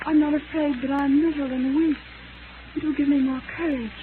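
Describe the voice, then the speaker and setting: An actress playing a frail, timid young seamstress who is trying to be brave, plaintive and earnest, in a noisy 1930s radio drama. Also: female voice, high-pitched, steady tone, normal speed, narrow, tinny audio, close to an everyday voice. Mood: timid, pleading.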